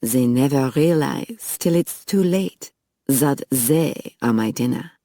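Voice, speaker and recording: A plump, dandyish male vampire with a French accent drawls his lines in a mincing, theatrical way, voiced by a woman reading a storybook. The voice sits low and close, recorded dry with no room around it. He sounds delighted by his own menace. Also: mellow, dry recording, full character voice. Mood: silky menace. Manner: mincing, theatrical, drawn-out delivery. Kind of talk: audiobook character voice.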